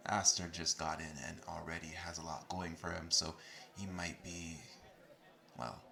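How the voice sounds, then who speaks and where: A man's voice: low voice, level tone, a little slow, vocal fry, sharp tone, full character voice. A man playing a friendly, conciliatory college student in an audio roleplay, with a casual and slightly hesitant delivery. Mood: friendly, apologetic. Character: friendly, apologetic male college student, easygoing peacemaker. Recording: crowd noise behind the voice.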